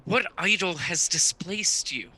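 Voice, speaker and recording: An ambitious, defensive young businessman acted by a teenage boy in a radio play. The voice is very bright and sharp, a little high, with an argumentative edge. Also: measured pace, husky, full character voice. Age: teens. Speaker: student actor.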